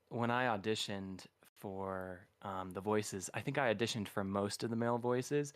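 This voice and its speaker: A man's voice: on the low side, a bit bright, clear, resonant tone, no room sound, clean studio sound. A man answering fan questions in a casual live-stream chat, easygoing and enthusiastic. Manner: easygoing, enthusiastic, laughs while talking. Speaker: voice actor guest.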